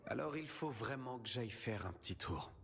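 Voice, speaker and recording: Clear ambience sits behind a young hero in a video game. His voice is medium in pitch. He is blunt, informal, defiant and determined. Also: animated, normal speed, fairly bright tone, a little hiss or hum. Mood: troubled.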